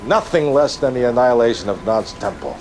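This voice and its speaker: A man's voice: mid-range voice, expressive, brisk, darkish tone, natural voice. Guy playing slick pompous politician in a game cutscene, mid voice, emphatic, self-assured, slight background noise. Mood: insistent. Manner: polished, emphatic. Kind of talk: dramatic video game cutscene acting.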